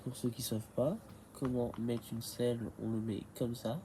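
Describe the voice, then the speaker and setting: A man's voice: mid-range voice, level tone, moderate pace, background noise. A man with a head cold explaining a bike repair in a casual, hesitant way, full of fillers and restarts. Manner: hesitant and rambling, many fillers. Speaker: bike repair tutorial maker.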